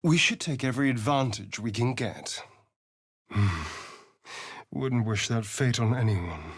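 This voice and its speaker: An actor voicing a sly, vain vampire rogue for a video game, with an English accent and an arch, theatrical, drawling delivery. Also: male voice, mid-range voice, expressive, slow, husky, exaggerated voice. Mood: measured, wary.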